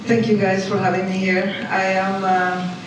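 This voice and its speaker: A woman from Chile with a Chilean Spanish accent, a nonprofit founder speaking on a panel, quick and excited, laughing often. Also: medium pitch. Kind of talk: panel discussion. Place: conference hall. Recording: echoey hall, distant, some noise.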